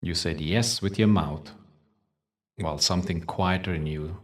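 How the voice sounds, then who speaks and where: A man leading a guided meditation in a soothing, measured way, leaving long pauses between short phrases. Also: low voice, distant mic. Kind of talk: guided meditation with spoken teaching.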